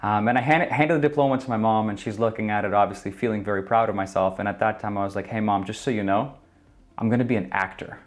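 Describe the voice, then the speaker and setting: A man in his twenties from Ukraine, answering interview questions earnestly and thoughtfully, with frequent pauses and ums. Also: fairly low pitch. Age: 20s. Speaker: guest. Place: indoor studio.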